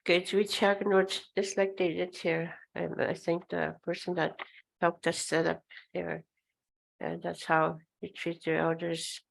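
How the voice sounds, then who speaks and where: Older woman elder, Oji-Cree first language; gravelly, slow, flat delivery on a handheld mic. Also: on the low side, low-quality recording. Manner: slow, hesitant, many pauses and throat clearing. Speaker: elder speaker. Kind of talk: informal talk at a gathering. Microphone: handheld microphone.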